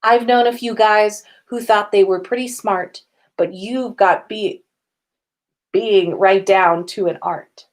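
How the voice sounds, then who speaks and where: A woman's voice: mid-range voice, steady tone, takes it slowly, close to an everyday voice. Woman reading as a teenage girl reciting lyrics. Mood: performing. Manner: lyrics spoken rather than sung. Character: nervous teenage girl performing song lyrics as speech.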